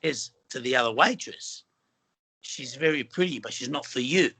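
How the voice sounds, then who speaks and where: A man's voice: medium pitch, lively intonation, normal speed, pushed and bright, slightly rough. A middle-aged Australian man from Western Sydney, speaking as a film actor guest in an earnest, rambling way with many fillers and restarts. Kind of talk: casual interview conversation.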